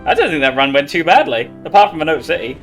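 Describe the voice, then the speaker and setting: A man's voice: a little high, very animated, fast talker, music in the background. A man casually commentating on his own live speedrun as he plays.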